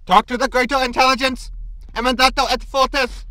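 A man's voice: extremely high pitch, flat delivery, fairly quick, sharp tone, no room sound, rough recording. A man playing a zealous, pompous ship computer in a science fiction comedy audio drama. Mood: obedient, formal. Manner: pompous, declamatory. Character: zealous ship computer obeying a greater intelligence.

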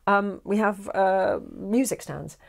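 A woman's voice: mid-range voice, slow, gravelly. A middle-aged woman from England, a guest director, telling studio stories in a casual chat on a lapel mic, with lots of fillers. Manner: animated storyteller, gestures a lot, many fillers. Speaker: guest, audio drama director. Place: small studio set with sofas.

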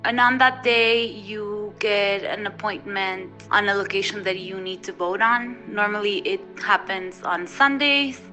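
A woman, in Spanish, talks casually. Her voice is bright and medium in pitch, with light music behind. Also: steady tone, a little slow, hardly any pauses, poor audio. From Ecuador. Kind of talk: casual personal account.

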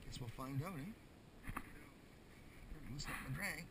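Male Canadian ice fisherman, slightly high-pitched, folksy and casual, recorded on a noisy camera in a small tent. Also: takes it slowly. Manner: folksy mild exclamations, often ending in "eh". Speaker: ice fisherman filming a fishing vlog. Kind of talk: casual chat while fishing. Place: small ice fishing tent on a frozen lake. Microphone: body-worn or propped action camera.

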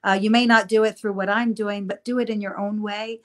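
A middle-aged woman from the United States, a pastor speaking as a guest on a video-call interview, earnest and gentle with frequent fillers. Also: medium pitch, little pitch movement, a little fast. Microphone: laptop webcam on a video call.

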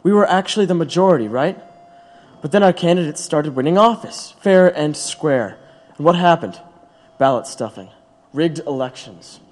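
An actor in an audio drama playing a proud, bitter labor radical, speaking earnestly and rhetorically. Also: male voice, fairly high pitch, expressive, normal speed. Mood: bitter, ironic. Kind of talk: dramatic audio play acting. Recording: long hollow reverb.

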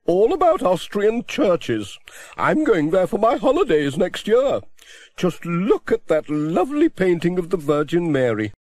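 Brief: man playing a brisk, book-mad village lady, high chirpy lively voice, dry audiobook sound. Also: dry recording, exaggerated voice. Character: brisk bookish older village woman. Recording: dry close audiobook recording.

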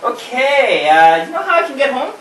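A performer voicing an anxious, excitable rooster in an amateur puppet show, rambling and flustered. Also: high-pitched, normal speed, slight background noise. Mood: polite, hesitant. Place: indoor room, puppet stage, far camera. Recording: roomy distant camera audio with music behind.

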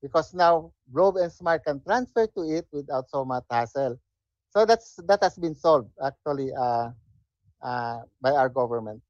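An older man with a Filipino accent answering interview questions in a halting way, often tagging phrases with "no?". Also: fairly high pitch, animated, darkish tone, no echo. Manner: halting, frequent tag "no?". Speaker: interview guest, former government official. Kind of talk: interview answers mixing English and Tagalog. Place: indoor room, video call. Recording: dull lo-fi video call audio.

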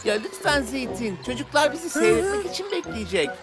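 High-voiced cartoon clown. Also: female voice, very animated, brisk, over music. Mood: pleading. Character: injured festival clown performer, pleading and worried. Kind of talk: animated children's cartoon acting.

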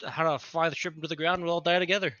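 A man's voice: a little high, steady tone, racing, bright, forceful tone, no echo, lo-fi sound. A man bantering casually as a player in an online tabletop game. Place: online group call.

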